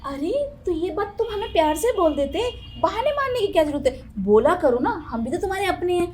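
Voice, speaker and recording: A woman's voice: extremely high pitch, lively intonation, a little fast, darkish tone. A woman playing a stern, sarcastic female tutor in an acted short drama. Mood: coaxing.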